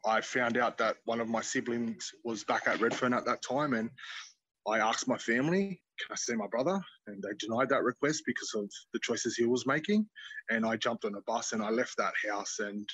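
An Australian man, a podcast guest, telling his life story in an earnest, steady way with many fillers. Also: medium pitch, normal speed, slightly rough, dry recording, low-quality recording. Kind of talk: personal storytelling.

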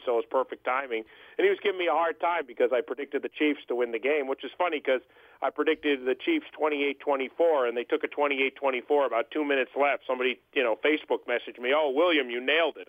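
A man's voice: mid-range voice, no room sound. A man in his thirties from Boston, chatting as a guest on a call-in interview in an easygoing, self-promoting way. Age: 30s. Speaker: guest, sports beat writer. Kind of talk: casual interview chat. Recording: tinny phone line.

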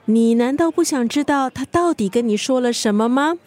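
An actress with a Singaporean accent playing a nosy, bossy best friend in a naturalistic radio drama, speaking in a playful, probing, wry way. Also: female voice, a little high, moderate pace, a little mellow, close to an everyday voice.

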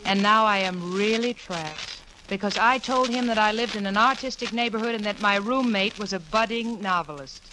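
A woman's voice: on the high side, lightly acted. An actress playing an exasperated, sardonic young working woman in a 1940s radio sitcom, wry and fretful. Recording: noisy old 1940s radio recording.

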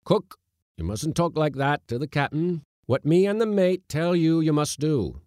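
A man's voice: on the high side, lively intonation, measured pace, a little mellow, no room sound, clean studio sound, voice pushed up. A man voicing a stiff, self-important ship's captain in a storybook, commanding and trying to sound dignified. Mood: firm, coaxing. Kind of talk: storybook character voice.

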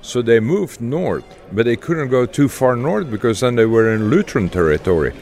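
An older man from Belgium with a Belgian accent, speaking haltingly with many fillers as an interview guest. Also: medium pitch, very animated, a little mellow. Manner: halting, many uhs, chuckles. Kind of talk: casual interview chat.

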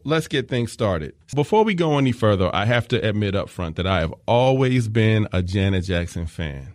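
A man's voice: fairly low pitch, animated, normal speed, no room sound. A man hosting a podcast, telling a story in scripted narration with enthusiastic, candid asides. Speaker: podcast host.

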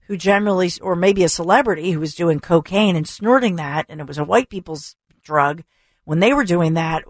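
A talk radio host, a woman, delivering an opinionated rant full of rhetorical questions and repetition, her voice going hoarse. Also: lowish voice, no room sound. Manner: emphatic, rhetorical questions, repeats for stress. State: losing her voice. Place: radio studio. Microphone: studio microphone.

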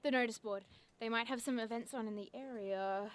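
A woman playing a nerdy, sharp-tongued young monster investigator, eager and cheeky, in naturalistic audio drama. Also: a little high, steady tone, fairly quick, slight background noise, lightly acted. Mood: curious, eager.

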